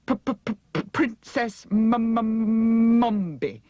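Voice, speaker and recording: A woman's voice: a little high, very slow, mellow, dry recording, muffled recording, faint music behind, voice pushed up. A woman voicing a cowardly villainous henchman creature in an audiobook, wailing and stammering in protest. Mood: terrified stuttering. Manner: stuttering, protesting.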